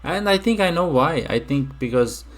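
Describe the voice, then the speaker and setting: A man's voice: fairly high pitch, animated, normal speed. A young man from Romania hosting a casual reaction video, musing aloud with many fillers and restarts.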